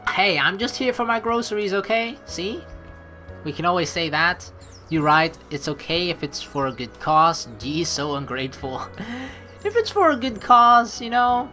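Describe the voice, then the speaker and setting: A woman streamer plays through a story game, reading narration and reacting casually. Her delivery is playful and very animated in pitch, with faint game music behind her. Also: normal speed. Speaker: let's play streamer. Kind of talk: gaming commentary mixed with reading game narration aloud.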